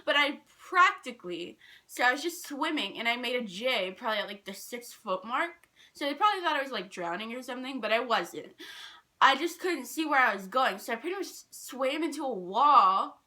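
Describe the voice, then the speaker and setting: A young woman telling personal stories in a casual, animated, rambling and self-deprecating way. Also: sits high, a little fast. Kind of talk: casual storytime chat.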